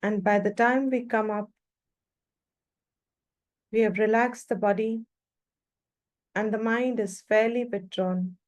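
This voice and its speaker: A woman leading a guided meditation as a teacher, speaking calmly in short phrases with long pauses between them. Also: mid-range voice.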